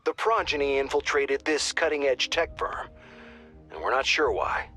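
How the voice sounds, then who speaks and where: A man's voice: medium pitch, lively intonation, normal speed, sharp tone. A man playing an informal, earnest intel officer who gives squad updates in a video game, in a conversational way. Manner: conversational, alert. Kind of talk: scripted video game radio briefing. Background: faint music.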